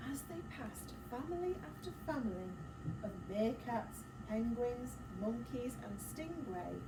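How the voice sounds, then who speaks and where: Animated woman reading a storybook to children. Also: fairly high pitch, measured pace. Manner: expressive, dramatic gasps, encouraging prompts. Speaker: teacher reading a picture book aloud to children. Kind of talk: storytelling read-aloud with asides and questions to children. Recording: roomy, distant mic, clear background noise.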